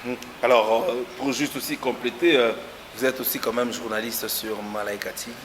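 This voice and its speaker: Male talk-show host, middle pitch, halting with lots of fillers, long reverb and light noise behind, for an interview segment. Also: fairly even intonation. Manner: hesitant, many fillers. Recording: noisy room with long reverb.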